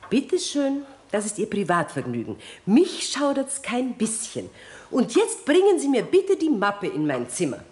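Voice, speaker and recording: An actress playing a gracious, skeptical, lightly mocking countess in a 1960s German radio play. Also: female voice, on the high side, huge pitch swings, a little slow, a little mellow. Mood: brisk, gracious. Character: amused, skeptical countess with aristocratic poise.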